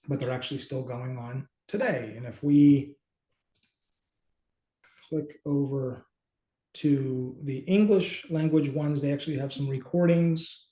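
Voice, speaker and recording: A middle-aged man hosting a casual screen-share walkthrough, speaking haltingly as he thinks aloud. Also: medium pitch, dark tone. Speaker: host and app company team member. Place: small indoor room, video call. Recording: roomy, echoey home room.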